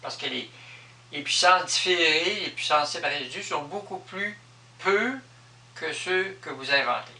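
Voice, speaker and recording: An echoey recording of a man speaking a little away from the microphone. His voice is very bright and sharp, with a Quebec French accent. He explains things off the cuff, emphatically and with a lot of repetition. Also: a little high, unhurried. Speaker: vlogger explaining personal spiritual beliefs. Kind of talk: improvised explanatory monologue. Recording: echoey room, distant microphone.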